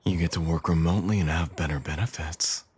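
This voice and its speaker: A man's voice: low-pitched, steady tone, fairly quick, a little mellow, husky. A man playing a cocky villain in an intimate audio roleplay, with a teasing, smug, flirtatious drawl. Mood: persuasive, coaxing.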